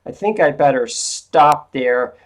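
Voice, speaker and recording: A woman instructor speaks over a video call, role-playing a politician's aide in a class exercise. She talks frankly and casually, restarting her sentences often. Her voice sits lower than usual today. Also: animated, takes it slowly.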